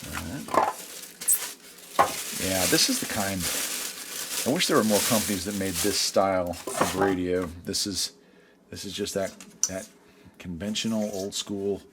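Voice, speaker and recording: A man's voice: low-pitched, unhurried, pauses often, heavy background noise. A man giving a casual product review in a folksy, easygoing way.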